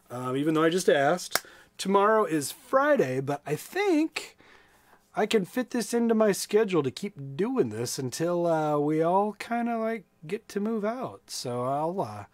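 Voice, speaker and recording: A man with an American accent reading to children in an expressive, playful way, with casual asides. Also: high voice. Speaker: storytime reader.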